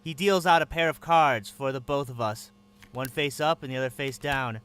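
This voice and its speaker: A man's voice: mid-range voice, normal speed, a bit bright, clear, resonant tone. A man narrating in first person as a captive, with a tense, wary inner voice.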